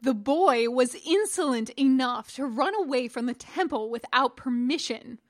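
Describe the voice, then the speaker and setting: Lady doing a snooty accusing old master, bit louder than her narration. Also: high-pitched, lively intonation, measured pace. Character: haughty older male master, cold and accusing.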